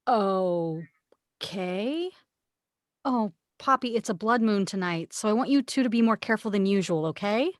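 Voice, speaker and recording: A woman's voice: mid-range voice, lively intonation, measured pace, no echo, clean studio sound, close to an everyday voice. A woman reading the part of a loving, superstitious mother who anxiously looks out for her daughter, in an amateur script read. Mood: worried, insistent.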